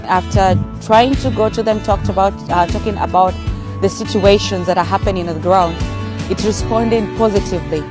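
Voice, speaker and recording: A woman's voice: medium pitch, brisk. A woman from Kenya, speaking earnestly in a recorded video, with some fillers. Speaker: scout, youth activist. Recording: video clip with guitar music behind. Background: guitar music.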